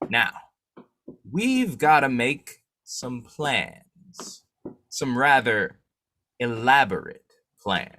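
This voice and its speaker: A man playing a shrewd, determined newspaper boss and secret vigilante in a 1940s-style radio drama, musing deliberately. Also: mid-range voice, very animated, pushed and bright, full character voice. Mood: scheming, musing. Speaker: actor in an old-time radio drama revival.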